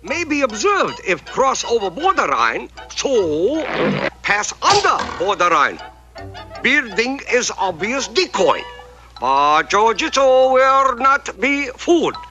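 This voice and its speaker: A man's voice: extremely high pitch, bright, forceful tone, exaggerated voice. An actor voicing a polite, clever little male detective sidekick in a 1960s TV cartoon, with clipped broken English and excessive courtesy. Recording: music bed under dialogue.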